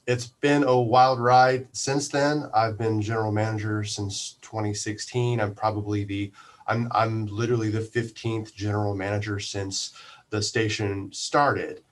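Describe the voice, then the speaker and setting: A man explaining things informally as an interview guest, halting and repeating words as he searches for phrasing. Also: medium pitch. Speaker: podcast guest, radio station general manager.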